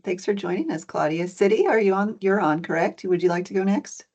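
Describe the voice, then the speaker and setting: A woman's voice: mid-range voice, a little fast, a little mellow. Woman moderating a muffled video call. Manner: welcoming, methodical, with frequent fillers. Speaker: program director and panel moderator. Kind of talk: hosting an online panel.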